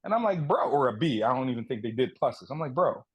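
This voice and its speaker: A man in his thirties from Guyana talks as a podcast guest with a husky, slightly rough voice. He speaks candidly and profanely, and pokes fun at himself. His pitch sits in the middle. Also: sing-song, quick pace. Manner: candid, profane, self-mocking. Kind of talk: casual podcast chat.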